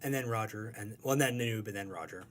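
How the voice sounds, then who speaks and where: A man's voice: lowish voice, fast talker, fairly bright tone, a little hiss or hum. A man giving a casual, slangy and rambling interview as a guest talking about creative work. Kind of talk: casual conversation.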